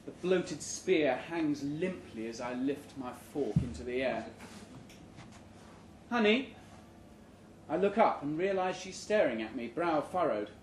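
A man reads a short story live in a deadpan, deliberate comic style. His voice sits fairly high and moves expressively up and down. The room adds noticeable echo around him. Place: indoor room at a live literary event. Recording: roomy live venue recording with background noise.

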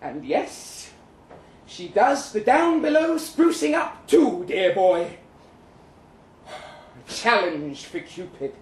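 A man's voice: high-pitched, big pitch swings, slow, long pauses, heavily acted. A man performing a live dramatic reading as a bitter, witty crippled young Russian ex-soldier, in a theatrical, florid, wry manner. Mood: bitter, self-mocking. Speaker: performer reading a short story aloud. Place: live event venue in London, indoors. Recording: roomy, distant live recording with noise.

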